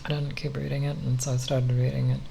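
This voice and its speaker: A soft-spoken ASMR creator rambling unscripted, halting and often pausing mid-phrase. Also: mid-range voice, level tone, gravelly, slight background noise.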